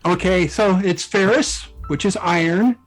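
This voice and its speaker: Higher-pitched man in halting casual conversation. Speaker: interview guest, fan fiction author and musician. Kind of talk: casual interview chat. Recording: music playing behind the voice. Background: music.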